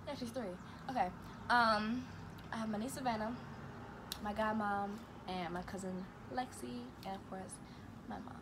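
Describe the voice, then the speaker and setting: A young woman from Chicago who talks fast and stutters, reading get-to-know-me questions aloud and answering them in a casual vlog. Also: mid-range voice, fairly even intonation. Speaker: vlogger. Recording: noisy room ambience.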